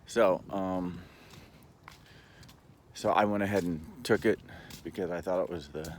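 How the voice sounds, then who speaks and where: A man talking in a casual, rambling monologue, halting but earnest and opinionated, as a vlogger. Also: low voice, steady tone, slight background noise.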